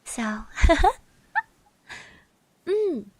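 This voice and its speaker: Woman acting a breathy, high-voiced shy schoolgirl classmate. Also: very animated, extremely slow, no room sound, full character voice. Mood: shy, hopeful. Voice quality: soft, breathy. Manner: hesitant, gentle, with little hums and trailing repeats. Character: shy, friendly high school girl classmate, admiring and easily flustered. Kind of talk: ASMR roleplay, speaking softly to the listener.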